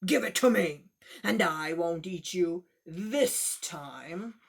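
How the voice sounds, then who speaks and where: A fierce, boastful tiger from a children's storybook, growled out by a woman reading aloud. The voice sits well below her own, slow and menacing, with a rough, throaty edge. Her delivery swoops and stretches the words. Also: lowish voice, lively intonation, pitched below the performer's own voice, exaggerated voice.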